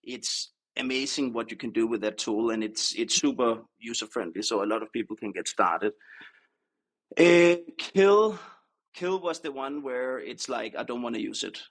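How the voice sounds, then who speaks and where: A man's voice: mid-range voice, animated, moderate pace. A man with a Danish accent, a native Danish speaker, chatting casually as an interview guest. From Denmark. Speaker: podcast guest, startup co-founder.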